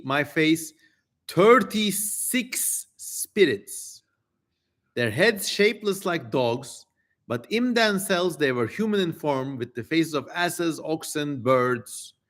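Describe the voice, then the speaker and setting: Slow-paced theatrical man streamer. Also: on the high side, big pitch swings, fairly bright tone. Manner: theatrical, jokey, informal swearing. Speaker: streamer, host. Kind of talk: dramatic reading livestream with casual asides.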